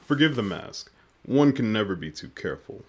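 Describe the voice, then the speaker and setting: Covert mission boss, low-pitched, formal, measured, guarded, commanding, audio drama. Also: male voice, sing-song, a little mellow. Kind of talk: scripted audio drama acting.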